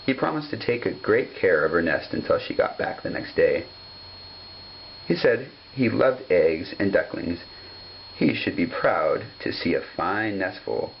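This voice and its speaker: Low, creaky man reading a storybook. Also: highs cut off, slight background noise. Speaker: storybook reader. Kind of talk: scripted children's story narration.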